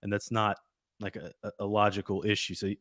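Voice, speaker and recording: A man's voice: on the low side, flat delivery, quick pace, fairly bright tone, dry recording, studio quality. A man in a casual discussion who asks probing philosophical questions. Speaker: debate participant.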